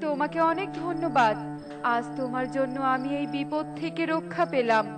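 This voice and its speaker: A woman plays a plaintive cartoon water fairy with a high, emotive voice. She speaks with open feeling, over clearly audible music. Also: normal speed, lightly acted. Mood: relieved, grateful. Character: tearful, grateful female water fairy in pain.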